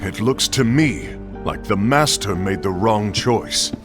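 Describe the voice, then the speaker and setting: An actor playing a brash, scornful young rival in a fantasy game, blunt and confrontational in delivery. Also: male voice, fairly low pitch, animated, slow, over music. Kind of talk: scripted game cinematic acting.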